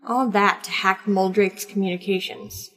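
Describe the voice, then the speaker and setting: A woman's voice: medium pitch, steady tone, takes it slowly, fairly bright tone. A woman playing a shrewd, wry, grumbling hacker with a foul mouth in an audio comedy drama. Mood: exasperated, grumbling. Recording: long reverberant echo, music behind.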